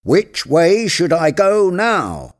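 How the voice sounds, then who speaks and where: Man acting a slow, wary servant, pitched up. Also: expressive. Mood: uneasy. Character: reluctant, wary male servant calling out uneasily.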